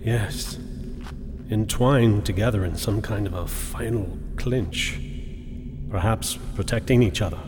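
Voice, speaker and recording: An actor playing an analytical, grimly fascinated expedition doctor in a radio drama, speaking in a formal, precise, lecturing way. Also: male voice, lowish voice, unhurried, close to an everyday voice. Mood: grave. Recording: cavernous reverb, music underneath.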